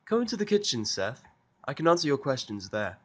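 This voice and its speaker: A man's voice: mid-range voice, big pitch swings, fairly quick, pitched above the performer's own voice. A man voicing an anxious, bookish young female unicorn with a fretful, apologetic manner in a fan fiction audio drama. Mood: curious, polite.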